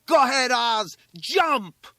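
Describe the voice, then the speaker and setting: A man voicing an excited, defiant young boy in an audiobook story, screaming wildly. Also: very high voice, slow pace, no room sound, voice pushed up, full character voice. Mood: urging.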